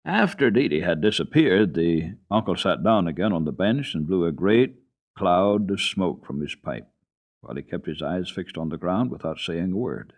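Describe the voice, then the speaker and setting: Male radio storyteller for children's classics: lowish voice, highly varied intonation, expressive, on muffled vintage tape. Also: moderate pace. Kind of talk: dramatic reading of a children's classic. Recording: dull lo-fi old radio recording.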